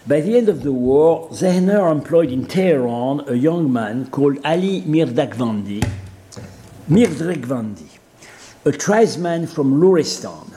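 A man with a Persian accent giving an academic seminar lecture, halting often with fillers and restarts. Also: a little high, mellow.

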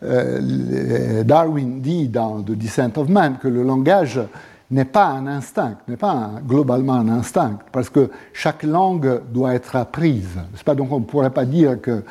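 A middle-aged man whose first language is Italian, lecturing with frequent pauses and hesitations. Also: medium pitch, animated, gravelly, mellow, breathy edge. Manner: hesitant, many pauses and fillers. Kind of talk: university lecture on linguistics.

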